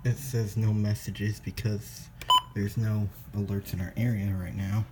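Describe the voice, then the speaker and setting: A man presenting a casual step-by-step product demonstration in a halting, plain-spoken way. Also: on the low side, level tone. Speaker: presenter of a how-to video. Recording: clear background noise with clicks.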